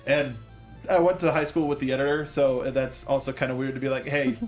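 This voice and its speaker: A man's voice: medium pitch, steady tone, brisk, faint music behind. A man hosting a film discussion show in a casual, chatty, rambling way with joking asides.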